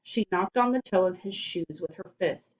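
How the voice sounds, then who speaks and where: A woman storyteller reading a children's book aloud in animated scripted narration. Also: lowish voice, moderate pace, lo-fi sound.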